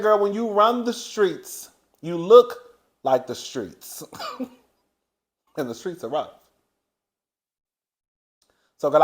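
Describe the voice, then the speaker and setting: A man in his thirties hosting a gossip vlog, chatty and animated, laughing often as he talks. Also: a little high. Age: 30s. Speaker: host.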